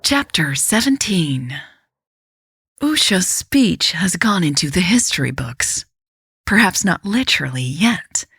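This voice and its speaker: A woman storyteller reading scripted fiction like a book, in a measured, reflective and deliberate way. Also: lowish voice, a bit bright, slightly rough, no echo, clean studio sound. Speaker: podcast storyteller.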